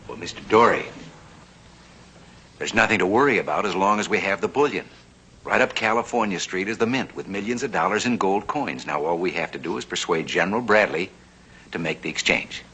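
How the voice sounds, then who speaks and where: A man's voice: low voice, brisk, sharp tone. A man narrating a vintage TV drama and playing a flamboyant, genial 1860s banker. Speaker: host and actor. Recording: muffled old TV soundtrack with hiss.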